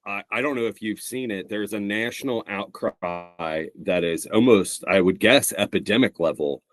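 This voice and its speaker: A middle-aged man co-hosting a podcast, talking in an animated, sarcastic way and swearing freely. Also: on the low side, normal speed. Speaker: podcast co-host, comedian. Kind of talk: casual chat.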